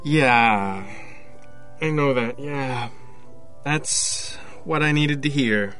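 Over faint music, an anxious, obsessive loner in an audio drama. His voice sits at a middle pitch. He talks haltingly and with agitation, doubting himself as he goes. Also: fairly bright tone. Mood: troubled, hesitant. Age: 50s. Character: anxious, obsessive loner inventor confessing to a priest.

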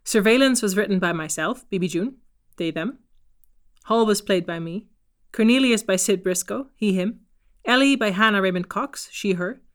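A woman's voice: medium pitch, moderate pace. Woman reading scripted podcast credits. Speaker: writer and cast member reading credits.

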